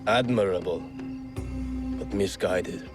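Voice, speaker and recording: A man voicing a brooding, poetic exiled warlord in a video game, measured, solemn and bitter. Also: low-pitched, over music. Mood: calm, regretful. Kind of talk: dramatic video game acting.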